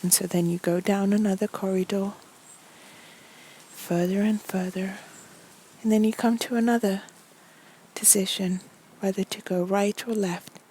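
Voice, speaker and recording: Slow, soft woman guiding a meditation. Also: medium pitch, fairly even intonation, slight background noise. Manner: soothing, deliberate, short phrases with many pauses. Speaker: guided meditation narrator. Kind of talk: guided meditation storytelling.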